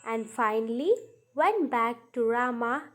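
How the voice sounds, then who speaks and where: A woman narrating a children's story from a script, in deliberate, halting phrases with pauses. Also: on the high side, soft background music. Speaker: storyteller narrating a puppet show.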